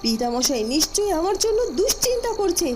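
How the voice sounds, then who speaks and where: Woman playing impatient female cartoon schemer, young noble, piercingly high voice, lively swoops, fretful, noisy night ambience. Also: fairly quick. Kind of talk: Bengali cartoon voice acting.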